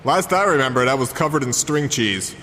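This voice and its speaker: A gloomy, bewildered male baker played by a man in improvised comedy. He talks in a halting deadpan at an average pitch. The recording carries long reverb and slight noise. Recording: noisy, reverberant room recording.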